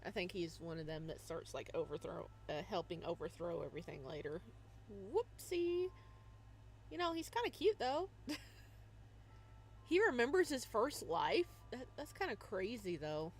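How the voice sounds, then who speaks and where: A woman's voice: mid-range voice, big pitch swings, normal speed, slightly rough. A young woman hosting a casual live stream, chatting and laughing as she plays a visual novel and greets her viewers by name. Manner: bubbly, giggly, greets chatters by name, hums to herself.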